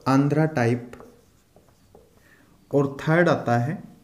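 A young man teaching a zoology class at a whiteboard, explaining slowly and repeating key terms as he writes. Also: medium pitch, a little mellow. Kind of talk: whiteboard lecture mixing Hindi and English. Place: small room with a whiteboard. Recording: echoey room.

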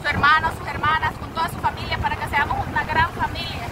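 A woman's voice: high-pitched, little pitch movement, quick pace, over a noisy crowd. A woman vlogging a family trip from the back of an open truck, chatting to her viewers on a handheld phone. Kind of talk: casual vlog narration to viewers. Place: open-sided truck on a country road. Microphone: handheld phone camera. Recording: loud wind and engine noise, voices around.

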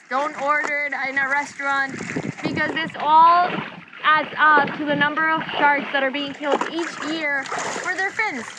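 A young woman vlogging casually from a sailboat deck, chatty and excitable with lots of "like," into a handheld action camera. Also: high-pitched. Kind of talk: casual vlog narration. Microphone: action camera held close. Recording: loud wind and water noise.

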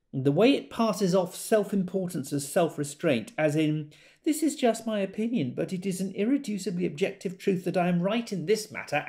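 A man's voice: sits high, darkish tone. An actor playing a pedantic, prickly, indignant man arguing pompously in an audio drama. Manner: pompous, argumentative.

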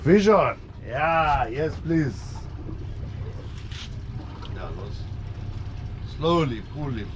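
Older guy narrating a boat fishing trip, keeps saying slowly slowly, slow talker, heavy sea and engine noise. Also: a little high, animated, highs cut off. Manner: coaching, repeats short calls. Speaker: fishing guide filming the trip. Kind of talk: excited running commentary. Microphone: action camera on board. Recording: noisy boat and sea wind.

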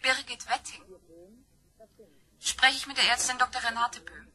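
A woman's voice: high voice, flat delivery, moderate pace, bright, forceful tone. A woman playing an insistent, purposeful stepdaughter in a crime radio play, polite but urgent. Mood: urgent, determined.

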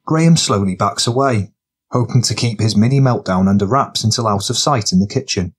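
A man with a fairly low voice narrates fiction. It is scripted audiobook storytelling, read as the narrator. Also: fairly quick, breathy edge.

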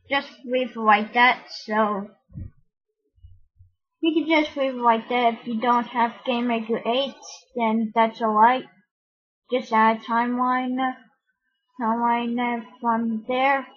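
A tutorial host with a high, husky voice walks through software steps casually. The delivery is slow and halting, broken into short fragments, on a muffled lo-fi screen-recording voice-over. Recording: dull, muffled lo-fi home recording.

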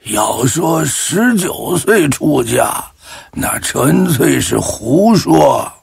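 An actor playing a plain-spoken, certain elderly lay Buddhist villager, with a raspy, creaky voice and emphatic delivery. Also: male voice, fairly high pitch, big pitch swings, dry recording, clean studio sound, heavily acted. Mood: firm, recalling. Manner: emphatic, unhurried storytelling.

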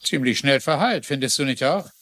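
An actor playing a folksy middle-aged sheriff in a TV detective drama, with hesitant hems and official phrasing. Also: male voice, mid-range voice, expressive, a little fast, a bit bright, no room sound, close to an everyday voice. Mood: suspicious, official. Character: folksy middle-aged small-town sheriff, plodding but dutiful. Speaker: actor in a dubbed crime drama. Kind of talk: German-dubbed TV detective drama acting.

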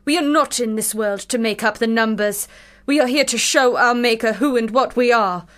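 An actress playing a stern, commanding, sardonic Victorian elder sister who preaches and cross-examines, in a period radio drama. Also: female voice, a little high, moderate pace, a bit bright, natural voice. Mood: stern reproach. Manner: preachy, exacting, rhetorical questions.

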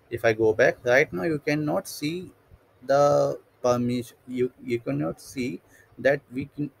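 A man walks through a screen-recorded software tutorial, speaking step by step and often restarting his sentences. His voice has a gravelly edge at a mid pitch. A faint hiss sits under the recording. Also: low-quality recording. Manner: thinking aloud, stepwise, with frequent fillers and restarts. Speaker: tutorial instructor.

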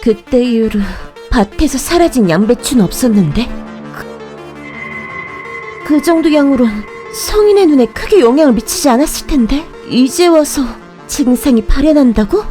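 A woman playing a brooding witch, narrating a dark fairy tale in a measured, ominous, matter-of-fact manner. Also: high-pitched, expressive, soft background music. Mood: puzzled. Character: brooding witch who raises a child in hiding. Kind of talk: scripted first-person horror fairy-tale narration.

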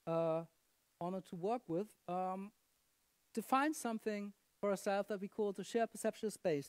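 A woman giving a conference lecture, halting and filling pauses with "uh" and "um", often checking in with the audience with a quick "yeah?". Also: medium pitch, darkish tone, no room sound. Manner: halting, many fillers, asks the audience "yeah?".

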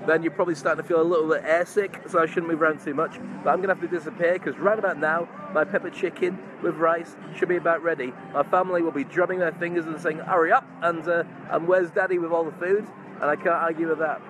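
Clear outdoor noise surrounds a middle-aged man with a somewhat high voice. He talks in a chummy, effusive way, as in a casual live stream. The phone is at arm's length in an open, busy park. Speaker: vlogger, entrepreneur and stage hypnotist. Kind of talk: casual chatty live-stream vlog to followers. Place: large open park outdoors among crowds. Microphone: phone held at arm's length. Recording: noisy outdoor night crowd ambience.

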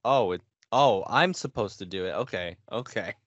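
A man in his thirties with a fairly low voice chats informally as a podcast co-host. He is recorded close and dry on a headset, with a slightly muffled, lo-fi tone. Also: animated, normal speed, no room sound. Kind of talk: casual gaming podcast chat. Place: small home room. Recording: dull, lo-fi dry audio.

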